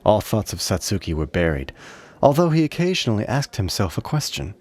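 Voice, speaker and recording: A man's voice: fairly low pitch, very animated, a little fast, a little mellow, dry recording. A man reading scripted narration for a radio play.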